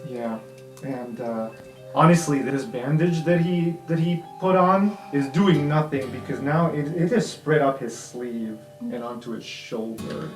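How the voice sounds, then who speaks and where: A game master narrating an improvised tabletop fantasy game in a casual, expressive storytelling way. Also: mid-range voice, unhurried. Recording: roomy echo, guitar music behind. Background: guitar music.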